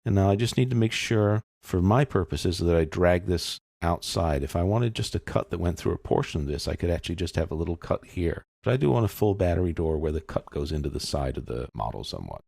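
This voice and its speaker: A man giving an informal step-by-step software tutorial, explaining casually as he thinks aloud. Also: low voice, animated, brisk, gravelly, hardly any pauses, dry recording, clean studio sound.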